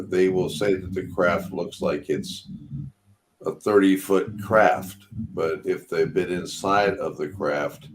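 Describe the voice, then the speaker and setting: Super deep guy hosting a panel show, halting roundabout questions, slight background noise. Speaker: radio show host.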